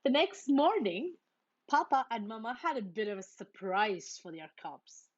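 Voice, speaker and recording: A woman's voice: a little high, measured pace, highs cut off. A woman reading a children's story aloud, expressively acting out the characters. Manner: expressive, acts out the characters' lines. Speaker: storybook reader.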